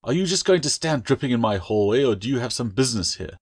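A man's voice: medium pitch, very animated, fast, husky, no room sound, clean studio sound, voice pushed up. A man voicing a harsh, impatient schoolmistress in a fantasy storybook, curt and scolding.

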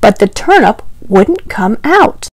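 A woman's voice: a little high, sing-song, slow pace, no echo. A young woman telling stories to small children as a storytime host, with exaggerated, encouraging delivery aimed straight at the listeners. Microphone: clip-on lapel mic.

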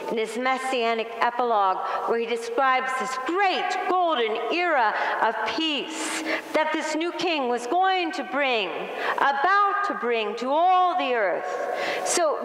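A middle-aged woman pastor preaching a sermon from the pulpit in an earnest, measured way, with emphatic rises. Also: high-pitched, a bit bright. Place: large wood-paneled church sanctuary. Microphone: pulpit microphone, filmed by a far camera. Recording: echoey church hall from afar.

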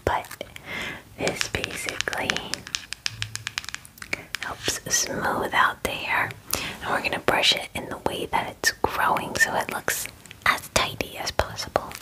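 A woman in her twenties playing a caring werewolf-spa groomer in a gentle, soothing ASMR roleplay, close to the mic. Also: slow, long pauses, natural voice, poor audio. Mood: reassuring, instructive. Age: 20s. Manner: gentle, soothing, softly reassuring. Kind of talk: whispered ASMR personal-attention roleplay. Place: small dim indoor room. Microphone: close mic, face near the camera.

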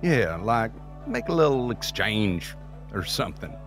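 An actor playing an evasive, smooth-talking man in an audio drama, flippant and wheedling. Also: fairly low pitch, very animated, measured pace, soft background music. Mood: cocky, wheedling. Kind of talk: scripted audio drama acting.

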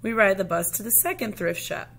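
A woman reading a children's picture book aloud like a teacher, with deliberate, dramatic phrasing. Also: medium pitch, animated, vocal fry, a bit bright.

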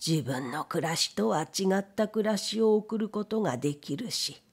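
A stern old master of the house played by a woman narrator from a storybook. He speaks slowly and with authority, in old-fashioned elderly phrasing, brooding as he goes. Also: high-pitched, expressive, slightly rough, exaggerated voice. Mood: musing. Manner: slow, old-fashioned elderly speech, commanding.

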